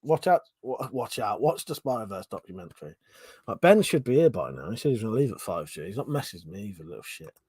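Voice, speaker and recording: A man's voice: fairly low pitch, quick pace, slightly rough, no echo, studio quality. A man in his forties chatting casually on a live stream, reading out comments, swearing and laughing as he talks. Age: 40s. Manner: swearing, laughing, animated banter. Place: home room.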